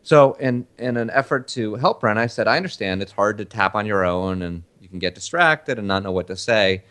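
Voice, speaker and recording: A man's voice: lowish voice, lively intonation, a little fast, fairly bright tone. A man from Argentina hosting a podcast, easygoing and teasing, telling stories at length in casual chat. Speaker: podcast host.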